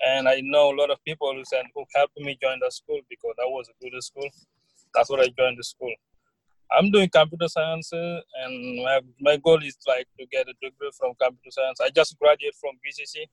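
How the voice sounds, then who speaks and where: Even-toned young Senegalese man, dry sound. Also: medium pitch, no room sound, poor audio. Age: young adult. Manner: halting, non-native phrasing. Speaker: student guest, recent graduate. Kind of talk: casual podcast interview.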